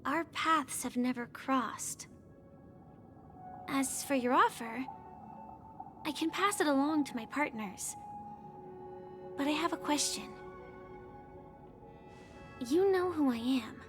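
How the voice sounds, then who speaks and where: A young outlaw warrior in a video game, with a high, soft voice. She stays calm, serious and guarded, choosing words with care over clearly audible music. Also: takes it slowly, long pauses. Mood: calm, questioning. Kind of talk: scripted game dialogue.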